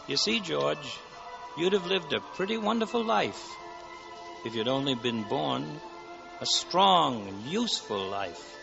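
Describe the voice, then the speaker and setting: An actor playing a solemn, commanding guardian angel in theatrical 1940s radio drama style. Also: male voice, on the high side, big pitch swings, a little slow, vocal fry, music in the background, full character voice. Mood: gentle. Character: stern guardian angel showing a grim vision. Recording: noisy, dull 1949 radio recording.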